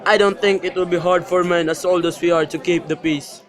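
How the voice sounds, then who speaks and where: A man's voice: sits high, fairly even intonation, brisk, close to an everyday voice. A man playing a proud old patriarch in an amateur radio drama, with a faint crowd behind him. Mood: measured, firm. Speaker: student actor.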